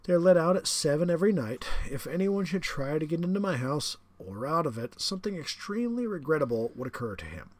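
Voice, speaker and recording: A man voicing a suave, menacing aristocratic hunter in a read-aloud story, with genteel, relishing emphasis and a husky voice. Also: fairly high pitch, big pitch swings, a little fast, hardly any pauses, pitched above the performer's own voice. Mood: genteel menace.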